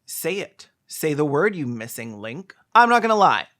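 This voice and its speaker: A commentator with a medium-pitched voice hosts a sarcastic video breakdown. The delivery is snarky and theatrical, peppered with profanity. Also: big pitch swings, moderate pace, fairly bright tone, a little hiss or hum. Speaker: host.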